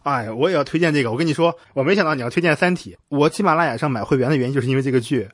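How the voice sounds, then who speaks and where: Male podcast host, quick pace, slightly rough husky tone, close dry sound, conversational and reflective. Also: mid-range voice, no room sound.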